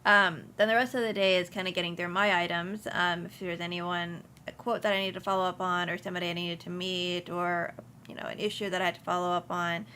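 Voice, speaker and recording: A woman's voice: lowish voice, level tone, a little fast, sharp tone, slight background noise. A young woman from Houston, speaking casually as an interview guest, with many ums and restarts. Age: young adult. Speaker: insurance agency principal, guest.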